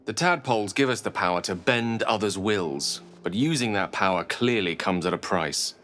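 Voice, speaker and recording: A man playing a grave, thoughtful adventurer in a fantasy video game, earnest and deliberate. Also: on the low side, a bit bright, close to an everyday voice. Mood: grave concern. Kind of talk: video game dialogue acting.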